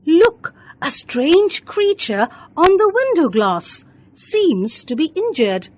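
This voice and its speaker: A woman voicing a kindly, reassuring grown-up lady astronaut in a children's story, gently explaining things. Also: sits high, sing-song, slow, voice pushed up, heavily acted, rough recording. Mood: wary.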